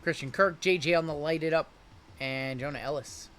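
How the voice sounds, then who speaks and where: A man in his late thirties runs a live card break stream, talking in a loose, chatty way as he reads off players and answers viewers. His voice sits a little high and has a bright, forceful edge. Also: moderate pace, slight background noise. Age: 30s. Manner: chatty, rattles off player names and chats with viewers.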